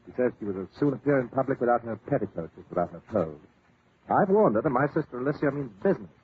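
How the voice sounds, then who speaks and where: A man's voice: mid-range voice, very animated, quick pace, natural voice. A man acts out a wealthy English lord in an old radio play, flustered and indignant. He rattles along in an upper-class British accent, stammering as he goes. The sound is a tinny old broadcast with light noise. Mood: exasperated. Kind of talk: 1940s radio acting.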